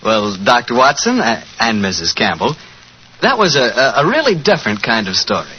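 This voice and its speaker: An American man hosting a 1940s radio show as announcer, with a folksy, smooth sales delivery. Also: medium pitch, big pitch swings, normal speed, pushed and bright. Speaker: announcer and host. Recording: muffled, noisy 1940s radio recording.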